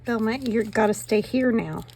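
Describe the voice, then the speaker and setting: Slightly hoarse rambling craft woman. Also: a little high, moderate pace, a little mellow, slight background noise. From northern Nevada, US. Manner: rambling, self-mocking, talks to viewers while working. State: slightly hoarse, losing her voice. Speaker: craft channel host. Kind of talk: chatty crafting commentary.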